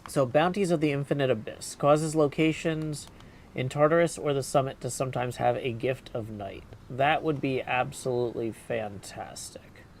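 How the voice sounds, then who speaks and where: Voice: medium pitch, normal speed. A game streamer giving casual livestream commentary, muttering through choices and reading game text aloud, with noise behind the voice. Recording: clear background noise.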